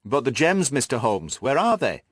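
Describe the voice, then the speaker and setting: A man's voice: medium pitch, sing-song, normal speed, no room sound, studio quality. A man voicing a bewildered, distressed banker who pleads earnestly for help, in audiobook dialogue. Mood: anguished, pleading.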